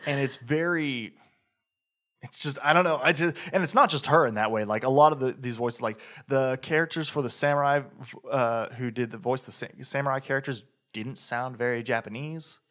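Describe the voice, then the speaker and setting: A man's voice: medium pitch, normal speed, a bit bright. A man co-hosting a podcast, reviewing a game in casual chat, talking in a rambling way with lots of fillers and restarts. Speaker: podcast co-host.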